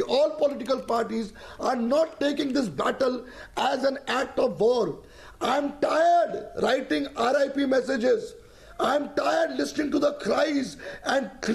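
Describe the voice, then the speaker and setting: An impassioned man from Kashmir with an Indian English accent, pushing his point on a televised debate panel. His voice sits unusually high and carries a gravelly fry. He halts often, filling the gaps with "uh" before pressing on. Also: darkish tone. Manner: impassioned, halting with many uh fillers. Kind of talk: heated TV debate.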